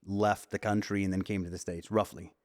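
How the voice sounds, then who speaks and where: A man's voice: deep voice, level tone, a little fast, darkish tone. A man hosting a podcast, chatting casually and informally in a friendly way with his guest. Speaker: podcast host. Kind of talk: casual interview chat.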